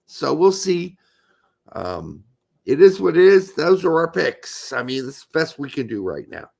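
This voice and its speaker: A man co-hosting a soccer podcast, emphatic and argumentative, hoarse from losing his voice. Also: fairly high pitch, big pitch swings, a little slow, a little mellow. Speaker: podcast co-host. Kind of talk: casual soccer talk.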